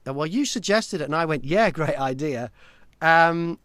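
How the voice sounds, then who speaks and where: A man's voice: fairly high pitch, expressive, normal speed, fairly bright tone, no room sound. Dry-sounding man in casual interview. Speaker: interviewer, audiobook narrator.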